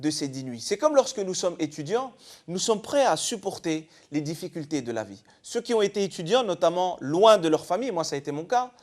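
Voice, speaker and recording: High-pitched, animated man explaining religion. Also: fairly quick. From eastern France. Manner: didactic, poses rhetorical questions. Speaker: religious scholar guest. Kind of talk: explanatory religious talk show discussion.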